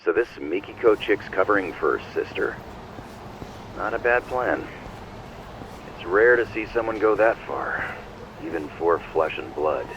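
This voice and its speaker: An actor playing a streetwise, sceptical yakuza underling with slangy talk, in a video game cutscene. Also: male voice, low-pitched, expressive, a little slow, fairly bright tone, lo-fi sound, slight background noise. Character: low-voiced male yakuza underling, sceptical, deferential to boss.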